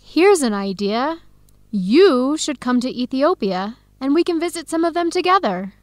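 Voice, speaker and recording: A woman playing a cheerful, adventurous young American in a scripted radio drama, speaking clearly and brightly with an American accent. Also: sits high, big pitch swings, unhurried, no echo, studio quality. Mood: enthusiastic. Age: young adult.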